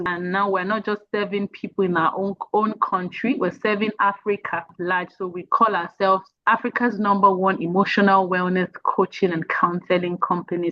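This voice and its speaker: A woman's voice: mid-range voice, no echo, dull audio. A woman from Nigeria in her thirties, an emotional wellness coach chatting earnestly as an interview guest and laughing easily. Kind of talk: casual interview chat.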